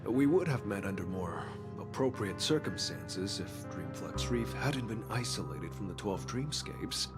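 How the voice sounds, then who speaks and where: A polite gravekeeper dude in a video game, lowish voice, calm n humble, music playing behind. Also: male voice. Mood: calm, courteous. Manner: polite, measured, understated. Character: modest courteous middle-aged gravekeeper, calm guide of exiles. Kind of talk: dubbed video game story dialogue.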